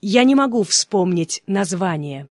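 Mid-pitched voice, slow careful phrase repetition, dry close sound. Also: expressive, dry recording. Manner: slow, clearly spaced repetitions. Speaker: phrasebook reader. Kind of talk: reading phrases for learners.

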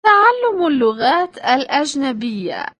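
A woman reading short phrasebook sentences slowly and clearly for language learners. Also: high-pitched, sing-song, fairly bright tone, dry recording. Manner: slow, clearly spaced phrases. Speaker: phrasebook reader.

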